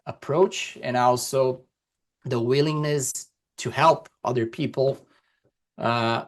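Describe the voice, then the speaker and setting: Podcast guest, male, Portuguese speaker, slow and measured, mid-pitched, on a video call. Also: fairly even intonation. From Brazil. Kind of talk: casual conversation.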